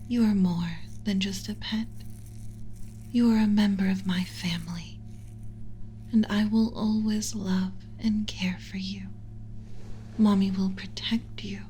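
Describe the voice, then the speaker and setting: A woman voicing a doting alien scientist mother in a soft, breathy ASMR whisper, speaking with slow, soothing, formal tenderness. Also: medium pitch, a little hiss or hum, natural voice, rough recording. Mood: tender, doting. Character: doting female alien scientist mother, gently possessive of human pet.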